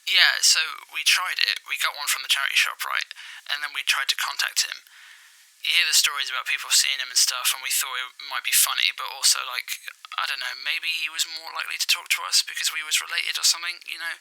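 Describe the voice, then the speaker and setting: A sheepish young-at-heart man being interviewed for a podcast drama. His voice is very bright and forward, and he rambles in casual, hesitant phrases. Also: a little high, flat delivery, a little fast, vocal fry, full character voice, poor audio. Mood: sheepish, chatty. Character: chatty, sheepish local man, anonymous relative of a killer. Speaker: anonymous interviewee. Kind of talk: casual phone interview chat.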